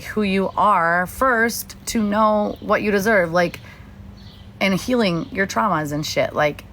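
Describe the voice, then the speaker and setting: A woman's voice: fairly low pitch, fairly bright tone, slight background noise. Candid, rambling young American woman. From United States. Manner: rambling and candid, swears freely, many likes and ums. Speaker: livestream host. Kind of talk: casual livestream chat. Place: outdoors at a beach or lake.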